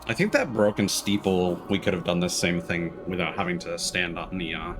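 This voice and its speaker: A man's voice: sits low, lively intonation, fairly quick, music in the background. A man streaming a video game, giving casual, musing commentary with some hesitation.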